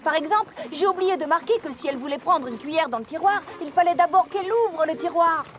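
A woman's voice: very high voice, fast talker. A woman voicing a protective young adult big-sister farm pony in a cartoon, affectionate and fretting. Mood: worried. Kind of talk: animated series voice acting.

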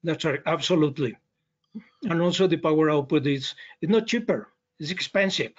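A man giving an unscripted webinar explanation in halting, non-native English, often repeating words as he searches for phrasing. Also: on the high side, dull audio. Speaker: loudspeaker brand representative presenting.

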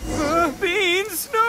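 A man's voice: high voice, heavy background noise. A man voicing a brash, goofy young oni gang boss in a video game, blustering and exaggerated.

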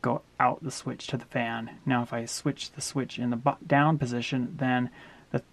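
A middle-aged man walking through a camper wiring upgrade step by step, in a steady, plain-spoken way, recorded in a small indoor space. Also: medium pitch, normal speed, creaky. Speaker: DIY camper owner explaining a wiring upgrade. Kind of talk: hands-on how-to walkthrough.

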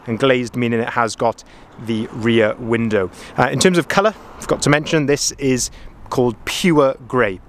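A man's voice: mid-range voice, a little hiss or hum. A young man doing a walkaround review of a van outdoors, upbeat and enthusiastic with frequent ums. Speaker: van salesman. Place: outdoor car lot beside a van. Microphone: camera-mounted mic, filming while walking.

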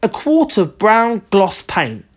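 An eager young boy from a children's storybook, voiced by an adult narrator. He is earnest and matter-of-fact, with pitch that moves about in a lively way. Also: takes it slowly.

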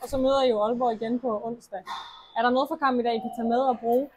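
A woman interviewing a player after a match for a club's TV channel, asking measured, deliberate questions. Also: a little high, fairly even intonation, a little mellow, a little hiss or hum, low-quality recording. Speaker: club TV interviewer.

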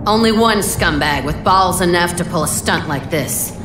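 Woman playing a low-voiced, shrewd resistance leader. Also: moderate pace, lightly acted. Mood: grim, cautious. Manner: firm, businesslike briefing. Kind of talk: video game dialogue acting. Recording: echoey room, music behind.

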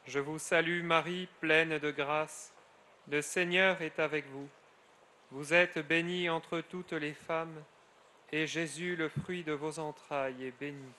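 A middle-aged friar leading solemn prayer in a measured cadence, his phrases falling away at the end. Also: fairly high pitch, fairly even intonation, background noise. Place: outdoors at a grotto shrine.

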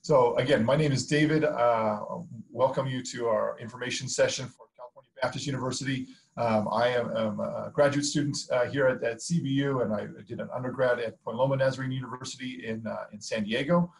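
A man introducing himself informally as an admissions counselor on a video call, in a halting, filler-heavy way. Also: medium pitch, steady tone, vocal fry, poor audio.

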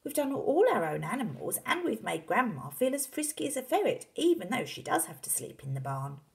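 Storybook son, read by a woman: young, unsure, politely pleading, pitched high. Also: very animated, a little fast, vocal fry. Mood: puzzled, doubtful. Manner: questioning, tentative pleading. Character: hesitant young boy, doubtful and polite son.

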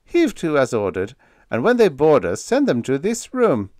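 A man voicing a calm, clever young spaceship officer in a science fiction story, speaking in a soothing, deliberate, polite way. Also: on the high side, no room sound, studio quality, pitched above the performer's own voice. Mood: polite, assured.

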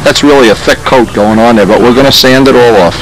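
A man's voice: mid-range voice, quick pace, poor audio. Older man demonstrating outdoors in cold. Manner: practical, step-by-step explaining. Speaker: model airplane builder. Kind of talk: casual how-to demonstration. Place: snowy backyard outdoors.

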